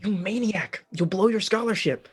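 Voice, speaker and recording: A man's voice: sits high, normal speed, breathy edge. A man reading a shy, wisecracking young male student with dry, understated quips in a radio-play script reading. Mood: flustered.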